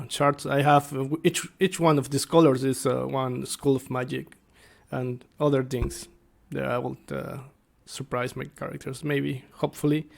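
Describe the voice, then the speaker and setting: Guy doing a casual gaming vlog, talks slow and kinda gravelly, keeps hunting for words. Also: medium pitch. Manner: halting, searching for words. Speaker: tabletop game master and video host. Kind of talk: casual unscripted explainer vlog.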